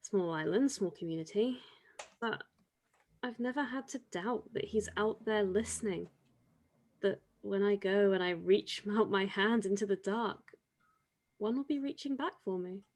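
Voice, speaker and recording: A woman acting as a devout island woman in an audio drama. She teases with dry wit and speaks reflectively, in a middle-pitched voice. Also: a little slow, a little mellow. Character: wry, devout island woman, teasing grave-robbing companion. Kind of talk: audio drama table read.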